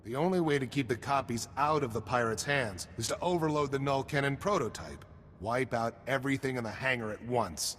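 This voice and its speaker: An actor playing an earnest, conscientious military engineer in a video game, frank and urgent in delivery. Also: male voice, mid-range voice, fairly quick, a bit bright, big-room sound, slight background noise, lightly acted. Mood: grave, determined.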